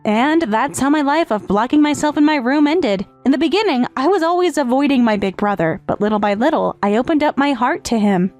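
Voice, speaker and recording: A high, youthful voice for a grieving manga step-sister, acted by a woman. She confesses earnestly and hesitantly, with guilt and tenderness. Soft background music runs beneath the dramatic narration. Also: expressive, brisk, few pauses. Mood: tender. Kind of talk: dramatic first-person narration in manga dub.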